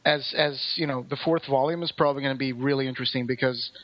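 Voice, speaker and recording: A man's voice: mid-range voice, steady tone, fast, highs cut off. A man co-hosting a podcast in a casual discussion, explaining details at length. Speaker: podcast co-host.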